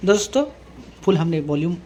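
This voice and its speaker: A man presenting a hands-on tech tutorial, explaining step by step in a halting, repetitive way. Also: a little high, expressive, warm tone. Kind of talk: hands-on product demonstration. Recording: clearly noisy room recording with ambience.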